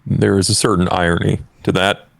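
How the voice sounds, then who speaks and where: A man playing a reserved gentleman vampire in a tabletop game, with measured formal speech and a gravelly voice. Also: deep voice, little pitch movement, dry recording, heavily acted. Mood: reserved, polite.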